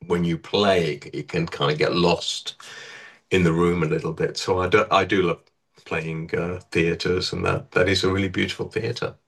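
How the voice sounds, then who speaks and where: A man with a husky voice from an allergy, chatting casually in an interview about touring with his band. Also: low-pitched, normal speed. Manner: relaxed, rambling with restarts. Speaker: touring band musician, guest.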